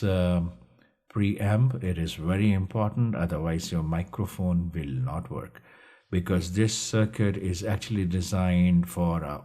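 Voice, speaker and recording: A man with a low-pitched, slightly rough and husky voice gives an instructional walkthrough. He speaks haltingly, going through each step and repeating points as a tutor would. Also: mellow. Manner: halting, step-by-step explaining with repetition. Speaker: instructor presenting a DIY electronics project. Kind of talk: explanatory tutorial narration over slides.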